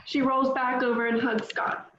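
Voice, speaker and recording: A woman reads stage directions in a fairly high voice, even and matter-of-fact. Her mic sounds dull and roomy, with a faint hiss under it. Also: normal speed. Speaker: narrator reading stage directions. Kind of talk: reading script action lines.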